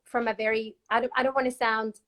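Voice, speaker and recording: A woman's voice: fairly high pitch, level tone, brisk, fairly bright tone. A young woman from Peru, a guest in a casual video-call interview, chatty with lots of fillers and laughs. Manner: chatty, laughs often, many fillers and restarts.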